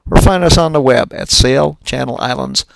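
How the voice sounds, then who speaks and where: Gravelly-voiced older man narrating a sailing trip. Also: mid-range voice, normal speed, noisy background. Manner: relaxed, folksy with fillers. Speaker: sailing charter captain.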